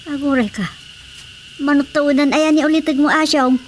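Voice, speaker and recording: A woman's voice: sits high, fairly even intonation, normal speed, natural voice. A woman playing a practical, fussing wife and mother with an Ilocano accent in a radio drama comedy. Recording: faintly noisy radio drama track.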